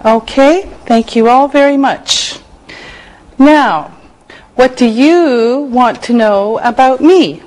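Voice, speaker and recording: An older woman teacher speaks at an extremely slow, deliberate pace, pronouncing each word with care for learners. Her voice sits a little high. She is recorded close on a lapel mic in a TV studio. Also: darkish tone, low-quality recording. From Canada. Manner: slow, clearly enunciated dictation. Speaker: English teacher. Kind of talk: slow scripted classroom teaching. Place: TV studio set.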